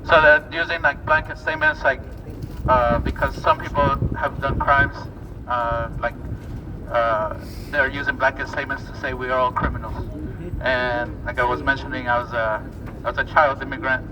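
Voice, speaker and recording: Gravelly, hesitant man speaking at a protest. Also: medium pitch, animated, normal speed. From born in Ecuador, moved as a child. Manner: hesitant, earnest. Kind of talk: halting personal testimony at a rally. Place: outdoors on a city street. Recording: distant noisy outdoor recording.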